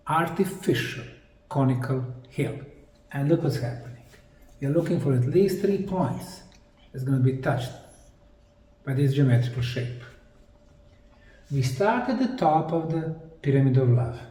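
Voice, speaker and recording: A man with a Bosnian accent giving an explanatory presentation, speaking emphatically in short, dramatic phrases with pauses. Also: on the high side, dark tone. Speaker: presenter, researcher. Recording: echoey room, distant microphone.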